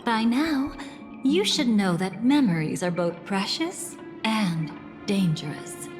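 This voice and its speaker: An actress playing a composed, enigmatic woman who guides a listener through memories in a video game, speaking in a measured, cryptic way. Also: mid-range voice, lively intonation. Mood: ominous, hushed. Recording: long reverb under clear music.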